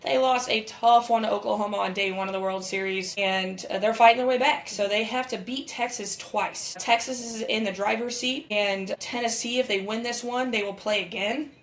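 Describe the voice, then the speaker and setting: A woman in her thirties with a raspy voice, co-hosting and giving casual live sports commentary in an enthusiastic, candid way. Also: a little high, fairly even intonation, normal speed, few pauses. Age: 30s. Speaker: podcast co-host and amateur sports commentator. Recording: roomy echo, distant from mic.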